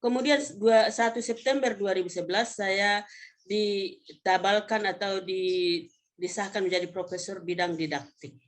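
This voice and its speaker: A woman in her fifties from North Sumatra, Indonesia, presenting slides informally as a professor, in a conversational, halting way with self-corrections. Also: mid-range voice, fairly even intonation. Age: 50s. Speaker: vocational education professor presenting a webinar.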